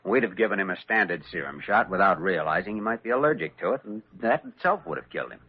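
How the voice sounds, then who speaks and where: A man playing an earnest, brisk young hospital physician with a clinical manner, in 1940s radio drama style. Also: deep voice, very animated, breathy edge, exaggerated voice. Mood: assured. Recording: dull, noisy vintage radio recording.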